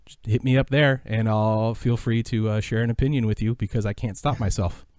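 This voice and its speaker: A man's voice: on the low side, steady tone, brisk, darkish tone, no room sound, studio quality. A middle-aged American man giving reflective, enthusiastic answers as a podcast guest, speaking earnestly and with emphasis. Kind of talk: reflective, enthusiastic interview talk.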